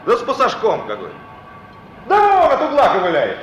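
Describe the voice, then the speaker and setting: An actor playing a chatty, excitable gatekeeper at a motor depot in a Russian radio drama, calling out in colloquial speech. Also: male voice, extremely high pitch, moderate pace, heavily acted. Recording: echoey, faintly noisy old radio recording.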